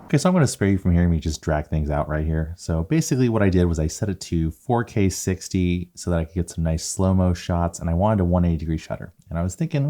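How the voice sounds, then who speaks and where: A man's voice: deep voice, mellow, dry recording, studio quality. A man chatting casually as a video creator and host of a vlog.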